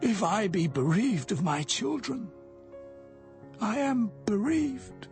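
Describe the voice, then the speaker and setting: An actor playing an aged, grieving Israelite patriarch in a dramatized scripture reading, speaking in halting, solemn archaic phrases. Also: male voice, sits high, big pitch swings, a little mellow, faint music behind, heavily acted. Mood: resigned, sorrowful.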